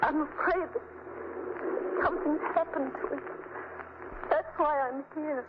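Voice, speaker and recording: A woman's voice: high-pitched, a little slow. An actress playing an anxious, tearful young woman in an old radio drama. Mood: fretful. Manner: tearful, fretful. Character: anxious young woman seeking her fiancé. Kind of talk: 1930s radio acting. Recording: tinny, hissy old radio broadcast.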